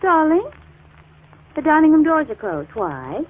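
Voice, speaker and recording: An American actress playing a sleuth's sharp-tongued, teasing sweetheart in a 1940s radio crime drama, quick with pointed retorts. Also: female voice, sits high, very animated. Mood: teasing, curious. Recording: dull 1940s radio recording.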